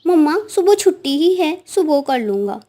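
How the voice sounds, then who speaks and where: A woman's voice: sits high, sing-song, normal speed, warm tone, voice pushed up. A woman voicing a lazy, sly and cheeky schoolboy bird in a children's cartoon. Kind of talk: children's cartoon story acting.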